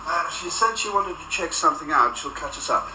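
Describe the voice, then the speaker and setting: An actor playing a polite, genteel senior official who is secretly a calculating villain, in a radio drama. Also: male voice, fairly high pitch, lively intonation, brisk, recorded from a distance, natural voice. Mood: smug, calculating. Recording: echoey, clearly noisy radio drama scene.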